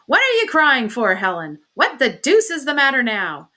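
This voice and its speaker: A woman's voice: mid-range voice, unhurried, bright, forceful tone. A woman voicing a self-pitying, dissolute husband in an audiobook, theatrically aggrieved, sarcastic and languid. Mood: impatient, petulant.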